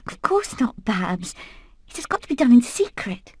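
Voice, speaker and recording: Game casting: female hen, fairly high pitch, purposeful escape leader, earnest and resolute. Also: very animated, normal speed, darkish tone, slight background noise. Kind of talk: animated video game acting.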